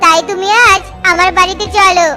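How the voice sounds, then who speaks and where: Grown forest bird, cartoon actor's put-on voice: very high, wheedling, uneasy, children's music behind. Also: little pitch movement, brisk, pushed and bright, heavily acted. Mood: coaxing.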